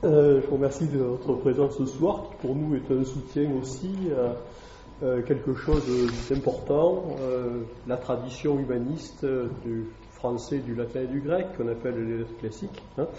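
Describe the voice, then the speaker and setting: Classics teacher, male, halting and thoughtful delivery, faint room hiss behind. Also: medium pitch, steady tone, mellow. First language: French. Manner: hesitant, many fillers and tag questions. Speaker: classics teacher giving a talk. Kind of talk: public lecture to an evening audience. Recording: echoey dull room recording.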